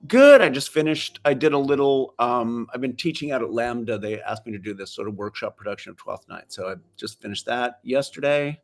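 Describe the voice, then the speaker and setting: A man's voice: medium pitch, animated, fairly quick, few pauses. An older American man, a film director, talking casually on a video call and often restarting his sentences. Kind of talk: casual interview chat.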